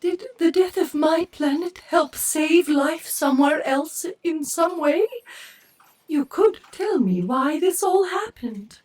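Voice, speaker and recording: An actress playing a sorrowful alien survivor in an audio drama, plaintive and pleading. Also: female voice, high voice, expressive, slow pace, darkish tone, distant mic. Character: sorrowful female alien, lone survivor of destroyed planet.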